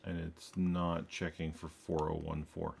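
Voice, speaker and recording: A man programming a game, thinking aloud while he codes, muttering to himself in halting, self-correcting bits. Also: rumbling low, flat delivery, creaky, darkish tone, a little hiss or hum.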